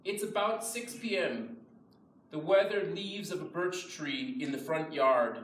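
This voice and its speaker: A man reciting translated poems in a measured, careful reading. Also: a little high, fairly bright tone. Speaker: reader of English translations. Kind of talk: poetry recitation. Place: event room before an audience, picked up from a distance. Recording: echoey room, distant mic, faint noise.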